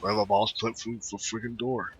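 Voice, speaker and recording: A man giving casual, halting gameplay commentary, muttering to himself as he plays. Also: on the low side, little pitch movement, fairly bright tone, slight background noise. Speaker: gaming video host. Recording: dull lo-fi microphone.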